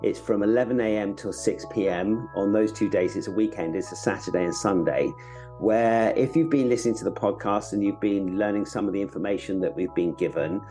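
A middle-aged man with a fairly low voice speaks straight to his listeners as a podcast host. He gives an informal promotional announcement from a room at home, with faint guitar music behind him. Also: brisk, dark tone, poor audio. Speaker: podcast host, acting teacher.

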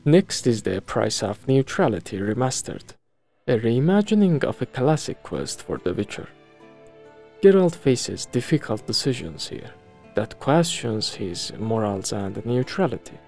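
A male presenter reads scripted game review narration. His pitch moves in a lively way and has a clear creak to it, with soft music underneath. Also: unhurried. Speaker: video presenter. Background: background music.

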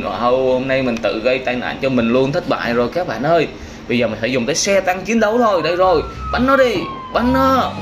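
A man in his thirties from Vietnam giving excited gameplay commentary for children, exclaiming, repeating phrases and often addressing his viewers. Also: mid-range voice. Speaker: video host playing a vehicle crash game for children. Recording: clearly noisy, game vehicle sounds behind.

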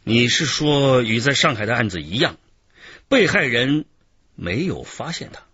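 An actor playing a stern, shrewd police interrogator in a radio crime drama, husky-voiced, lecturing with emphatic, measured authority. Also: male voice, medium pitch, very animated, sharp tone, no echo, muffled recording, exaggerated voice. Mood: grave, decisive.

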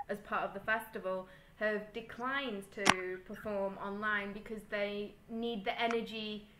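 A woman's voice: mid-range voice, fairly even intonation, unhurried. A woman in her thirties hosting a video call panel, chatty and full of fillers. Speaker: festival host moderating panel. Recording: echoey room with faint noise.

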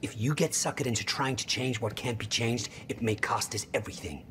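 An actor playing an intense, insistent scientist in a cinematic video game, explaining urgently and often dropping to a hush. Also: male voice, medium pitch, fairly quick, slight background noise, close to an everyday voice. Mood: pleading. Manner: urgent, explanatory, breaking into hushed whispers. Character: intense, insistent male scientist, older brother of the hero.

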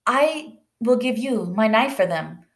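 A woman's voice: fairly high pitch, animated, unhurried, voice pushed up. Woman reading as a determined young boy, echoey room. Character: determined, clever seven-year-old boy making a wire toy. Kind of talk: read-aloud children's storybook.

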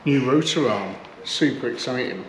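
A man's voice: mid-range voice, moderate pace, echoey room, slight background noise, poor audio. A man, chatting casually to camera and to himself while he works on an old car. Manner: chatty, relaxed, talks to himself while working. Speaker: classic car restoration vlogger. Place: garage or barn workshop.

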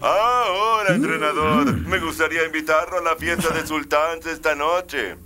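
A man's voice: fairly high pitch, normal speed, bright, forceful tone, faint music behind. A man voicing a suave, courteous wealthy sheikh in a cartoon dub, formal and gracious. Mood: gracious, smug. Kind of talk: animated cartoon dub acting.

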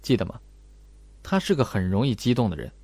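Audiobook role: clever young male sleuth voiced by a man, calm, measured, explanatory, dry sound. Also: medium pitch, no echo, pitched below the performer's own voice. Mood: cool, analytical.

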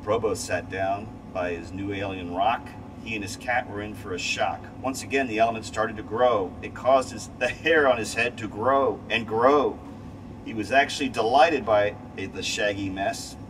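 A middle-aged man reading a rhyming children's storybook aloud in an expressive way, with the odd stumble. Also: fairly low pitch, moderate pace. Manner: expressive read-aloud delivery with stumbles and rereads. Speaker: story reader. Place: small cramped space station window module. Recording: distant mic, loud steady ventilation hum. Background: loud steady air-conditioning-like hum.

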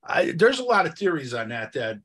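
A man's voice: a little high, sing-song, fairly quick, fairly bright tone, breathy edge. A man co-hosting a podcast, talking through history in an insistent, questioning, conversational way. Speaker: podcast co-host.

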